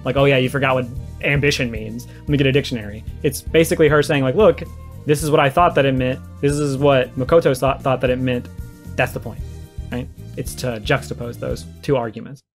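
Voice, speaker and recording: A man giving argumentative video commentary, explaining his points emphatically and repeating them to be clear. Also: medium pitch, steady tone, brisk. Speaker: video creator giving a response. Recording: music behind the voice. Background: music.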